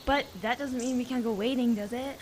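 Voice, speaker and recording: A woman's voice: fairly high pitch, steady tone, fairly quick, a little mellow, slight background noise, natural voice. A woman playing a cautious, worried young girl camper in an audio drama, wary and questioning.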